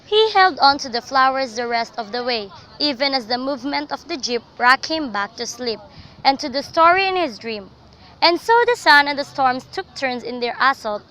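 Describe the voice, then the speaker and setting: A woman narrates a literary radio drama as a dramatic storyteller. Her voice is very high and bright. The amateur recording carries faint noise. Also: rough recording.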